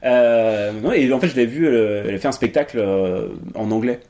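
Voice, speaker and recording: A man's voice: mid-range voice, moderate pace, dark tone. A young man from England whose first language is English, speaking in a casual interview with many fillers and restarts. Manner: hesitant, many fillers and restarts, laughs easily. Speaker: interview guest, improv actor.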